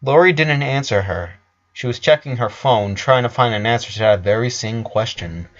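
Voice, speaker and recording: A man's voice: mid-range voice, normal speed. A man narrating a story in a dramatic reading style. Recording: dull lo-fi sound.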